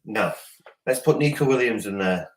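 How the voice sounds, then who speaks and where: A man with a lowish voice joins a casual football chat. He talks in quick, emphatic bursts and repeats his words as he argues. The sound is roomy and echoey. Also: expressive. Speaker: podcast guest. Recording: echoey room.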